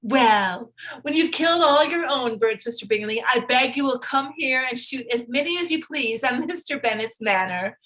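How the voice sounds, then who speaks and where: A woman's voice: high voice, animated, moderate pace, fairly bright tone, low-quality recording. A woman playing a fussy, gushing middle-aged mother in a theatrical period drama reading, effusive and chattering.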